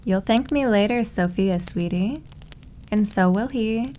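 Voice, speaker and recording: An unhurried, medium-pitched voice from a woman acting as a possessive yandere maid in an ASMR roleplay. She coos her endearments slowly and sweetly, teasing and doting with a controlling streak. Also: dark tone, close to an everyday voice. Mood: sly, doting. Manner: cooing, sugary, drawn-out endearments.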